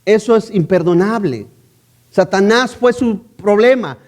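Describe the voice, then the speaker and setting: High-voiced man preaching with rhetorical questions. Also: animated, a little slow, a little mellow. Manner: emphatic, repeats key phrases. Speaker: pastor. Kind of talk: sermon.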